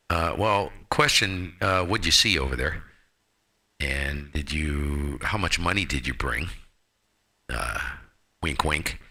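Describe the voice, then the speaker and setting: A man's voice: a bass voice, bright, forceful tone. A man chatting casually over amateur radio, laid-back and colloquial, with folksy exclamations. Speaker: amateur radio operator on air.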